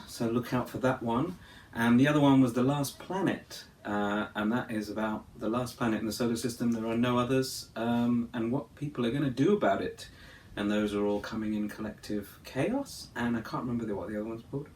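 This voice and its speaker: A British man giving a casual author's message in an offhand, halting way. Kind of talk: casual video message about stories. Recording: echoey room, distant mic, rumbly noise.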